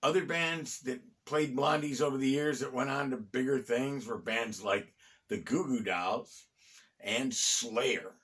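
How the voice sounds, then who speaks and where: A middle-aged American man hosting a conversational, storytelling history talk, phrasing deliberately with pauses and dropping in wry asides. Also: mid-range voice, a bit bright. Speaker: host and storyteller of a local history series. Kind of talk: conversational bedtime-story style history narration.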